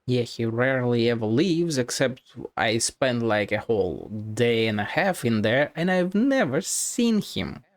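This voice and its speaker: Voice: medium pitch, very animated, measured pace, hardly any pauses, no echo. A streamer giving casual gameplay commentary, playful and sweary, mostly muttering to themself. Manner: playful, sweary, talking to self. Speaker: game streamer.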